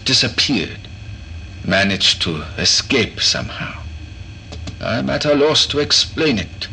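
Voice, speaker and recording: Skeptical asylum doctor: formal, sharp-toned, animated. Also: male voice, lowish voice, vocal fry, a little hiss or hum, exaggerated voice, rough recording. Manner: formal, dismissive, clipped. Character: eccentric, self-assured male doctor. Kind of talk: audio drama log entry.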